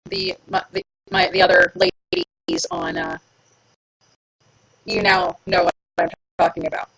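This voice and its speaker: A woman chats live with her viewers from home in a playful, self-mocking way. Her voice swoops widely and sounds bright and punchy, with a gravelly fry underneath. The sound is dry and close. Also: very high voice, wildly swooping pitch, slow, pauses often, low-quality recording. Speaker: livestream host. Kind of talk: casual live chat with viewers.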